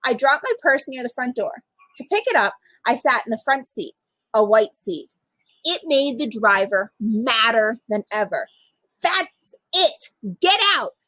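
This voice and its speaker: Woman, storytime reader. High, very lively pitch, slightly husky, close dry sound. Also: normal speed, no room sound, poor audio. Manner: animated, emphatic storytelling for young children. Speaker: storytime reader, literacy program manager. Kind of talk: expressive children's picture book read-aloud.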